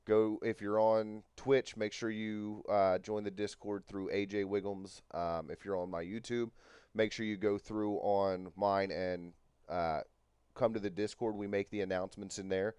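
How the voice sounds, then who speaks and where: A man's voice: lowish voice, level tone, unhurried, darkish tone, clear, resonant tone, no room sound. A man in his thirties chatting casually on a livestream, rambling with many fillers. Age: 30s. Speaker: co-streamer.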